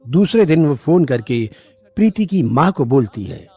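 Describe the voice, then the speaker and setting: A man's voice: a little high, sing-song, normal speed, warm tone, slightly rough, muffled recording. A man narrating a scripted moral story as a storyteller.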